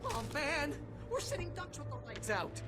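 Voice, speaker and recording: An actor playing a whiny, wisecracking best friend in a video game cutscene, groaning and melodramatic. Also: male voice, very high-pitched, sing-song, normal speed, music in the background. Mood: frightened.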